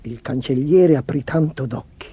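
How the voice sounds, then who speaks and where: A man narrating a children's storybook as an expressive storyteller, reading from a script. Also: mid-range voice, moderate pace, slightly rough.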